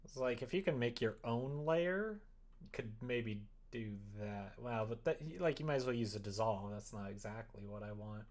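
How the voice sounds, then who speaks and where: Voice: mid-range voice, lively intonation, normal speed, darkish tone. A livestream host thinking aloud casually while working at a computer, muttering and trailing off mid-thought. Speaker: livestream host coding a visual novel. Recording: noisy room, keyboard typing and clicks. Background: keyboard typing, mouse clicks.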